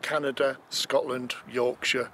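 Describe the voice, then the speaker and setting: A man's voice: mid-range voice, level tone, unhurried, sharp tone, a little hiss or hum. A man, chatting casually in an easygoing, self-deprecating way, recorded outdoors on a clip-on mic. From Greater Manchester, England. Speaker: amateur golfer being interviewed. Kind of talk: casual chat. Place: outdoors on a golf course. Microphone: clip-on chest mic.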